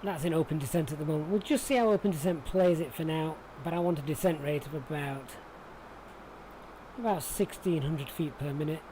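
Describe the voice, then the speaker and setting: Man, Yorkshire accent, slightly high voice, informal livestream commentary, chatty, thinking aloud, noticeable background noise. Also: a little fast, warm tone, rough recording. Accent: Yorkshire English. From Sheffield, England. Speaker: flight simulator livestreamer. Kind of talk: casual live-stream commentary, chatting with viewers.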